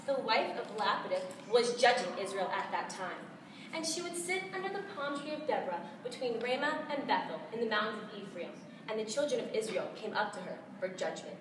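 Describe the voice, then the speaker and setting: A woman with an American accent giving a dramatized, emphatic recitation of scripture in a storytelling style. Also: on the high side. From United States. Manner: theatrical, emphatic, storytelling delivery. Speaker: competition contestant reciting scripture. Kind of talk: dramatized recitation of Bible narrative. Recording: roomy, distant mic, faint noise.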